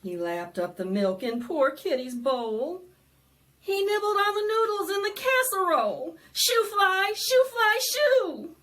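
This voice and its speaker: A woman presenting storytime for young children, singing and reading aloud in an animated, sing-song way that coaxes them to join in. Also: very high voice, unhurried. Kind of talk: interactive preschool storytime, singing and read-aloud.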